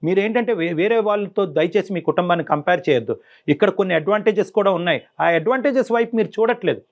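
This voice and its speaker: A man's voice: high voice, lively intonation, racing. A man giving emphatic advice as a counsellor on a talk show, repeating words for stress, in a dull, muffled recording. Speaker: family counsellor.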